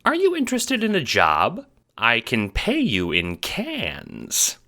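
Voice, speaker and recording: A man plays a little shelled creature who serves as mayor in an audio drama. The voice is lifted well up and bright, with an earnest, grandiose, emphatic delivery. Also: medium pitch, huge pitch swings, slow, voice pushed up, full character voice. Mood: impressed. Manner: grandiose, old-fashioned wording, emphatic. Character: short, earnest, pompous male insect-shelled mayor. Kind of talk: audio drama character acting.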